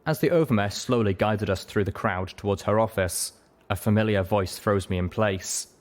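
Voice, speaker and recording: A man's voice: fairly low pitch, moderate pace. A man reading fiction aloud as the narrator of a reading show, in a dramatic scripted style.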